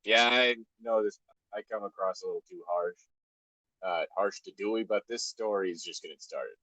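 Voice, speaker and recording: A man's voice: on the low side, normal speed, sharp tone, no echo, muffled recording. A man co-hosting a podcast, chatting and reading aloud casually with loose, sweary banter. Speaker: podcast co-host.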